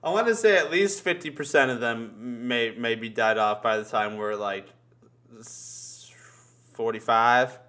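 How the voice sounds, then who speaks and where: A man's voice: mid-range voice, unhurried, sharp tone. A man chatting casually as a podcast host, joking around and swearing freely. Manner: profane, joking. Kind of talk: casual chat.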